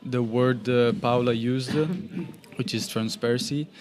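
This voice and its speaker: Slow, hesitant man. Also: mid-range voice, darkish tone. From Italy. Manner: hesitant, many fillers. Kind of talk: podcast discussion.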